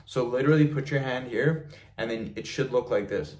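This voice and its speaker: A man's voice: mid-range voice, brisk, a little mellow. A young man giving a casual home vlog with a loose, chatty manner, full of ums and repeated words, filmed by the camera in front of him in a small home gym. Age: young adult. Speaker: fitness vlogger. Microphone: camera mic in front of him, head and shoulders in frame.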